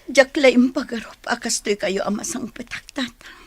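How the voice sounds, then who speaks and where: Breathy, wistful daughter, played by a woman. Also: fairly high pitch, normal speed, dry recording, lightly acted. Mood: tender, emotional. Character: wistful adult daughter, homesick and gentle. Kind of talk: Filipino radio-style drama acting.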